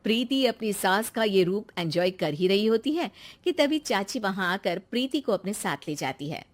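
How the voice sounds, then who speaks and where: A woman's voice: medium pitch, lively intonation, moderate pace. A woman narrating a scripted moral family story.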